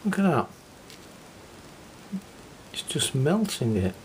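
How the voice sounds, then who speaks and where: A man's voice: mid-range voice, very animated, slow pace, a little hiss or hum, low-quality recording. A man casually narrating as he cleans parts of an old tape deck, muttering an easygoing running commentary. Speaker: hobbyist repairing a reel-to-reel deck.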